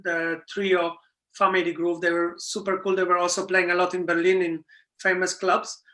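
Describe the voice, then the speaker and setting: A man with a Polish accent, a DJ guest, talking in halting, searching English in a casual interview. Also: high-pitched, completely flat delivery, sharp tone. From Poland.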